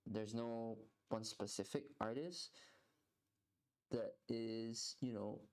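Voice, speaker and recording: A man's voice: mid-range voice, fairly even intonation, slow. A young man streaming while he draws, chatting casually and rambling, often repeating words as he thinks. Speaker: artist streaming while drawing. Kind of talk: casual live-stream chat.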